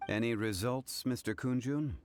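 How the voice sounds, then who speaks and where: An actor playing a composed, dignified gentleman in a video game, speaking formally, calmly and deliberately. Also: medium pitch, a little hiss or hum, lightly acted.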